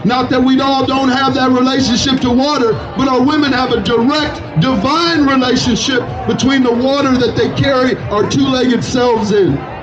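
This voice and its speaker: A man giving a loud, slow, emphatic speech outdoors at a street protest. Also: extremely high pitch, steady tone. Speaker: protest speaker. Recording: dull lo-fi sound, distant from the mic. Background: crowd and music.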